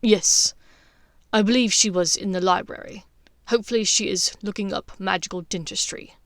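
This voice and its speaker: A woman's voice: medium pitch, moderate pace, no echo, close to an everyday voice. A woman voicing a middle-aged aristocratic husband in a storybook, pompous and awkwardly amorous, with drawled innuendo. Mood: dry, pompous.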